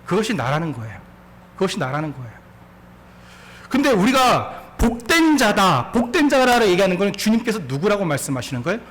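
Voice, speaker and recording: A pastor in his forties, a man preaching a sermon. His voice sits high and swings up and down a great deal. He tells his story with laughter and keeps asking the congregation questions. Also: sing-song, fairly quick, fairly bright tone, slight background noise. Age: 40s.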